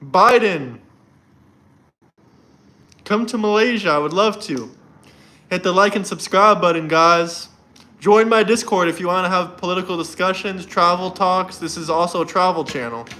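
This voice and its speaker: A man's voice: high-pitched, moderate pace, pauses often, bright, forceful tone. A young man from Florida hosting a casual livestream, chatting loosely and answering viewer comments, often repeating himself. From Florida, United States. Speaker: livestream host. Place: indoors in Montenegro.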